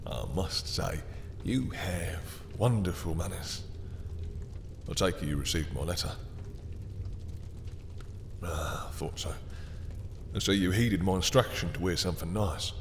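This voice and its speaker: A suave, menacing male vampire lord, acted out by a man for an intimate audio roleplay, speaks in a very low voice and takes his time over every phrase. He is possessive and mock-courteous, taunting as he seduces. The sound rings with long reverb. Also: slight background noise. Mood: silky admiring.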